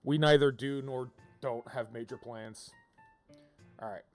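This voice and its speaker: A man giving casual livestream commentary to viewers, thinking out loud in an informal, rambling way and often rephrasing himself. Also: medium pitch, fairly even intonation, unhurried. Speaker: video game livestreamer, host. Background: music.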